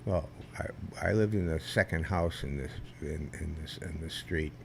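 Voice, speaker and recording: An older man, a veteran guest, telling stories in a rambling, halting way with many restarts and a loud voice that carries. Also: very deep voice, creaky, a little hiss or hum. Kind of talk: casual storytelling interview.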